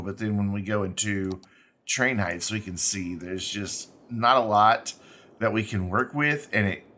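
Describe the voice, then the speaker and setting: Congested low-voiced man musing through gameplay. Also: pushed and bright. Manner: unhurried, musing, self-correcting. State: congested, stuffy sinuses. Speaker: gaming channel host. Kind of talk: let's play commentary, thinking aloud while building.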